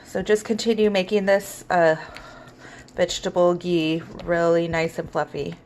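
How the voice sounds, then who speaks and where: A woman with a cold and a raspy voice, giving relaxed step-by-step instructions in a casual cooking tutorial. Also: lowish voice, unhurried, slight background noise. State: hoarse with a cold. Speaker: home cook.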